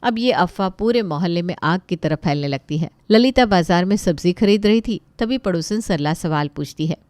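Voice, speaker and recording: A woman narrating a scripted moral story with expressive storytelling delivery. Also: lowish voice, normal speed, no echo.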